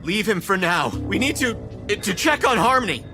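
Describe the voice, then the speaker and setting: Man acting an animated, uneasy peacemaker friend. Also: high-pitched, normal speed. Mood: shaken. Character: righteous, uneasy young man calming his friends. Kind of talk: acted audio horror drama. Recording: music under the voice.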